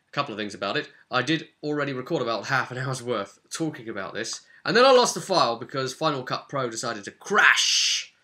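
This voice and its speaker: A man with a bright, forward voice talks through a tabletop game session as game master. His delivery is casual and conversational, like a personal vlog, at a middling pitch.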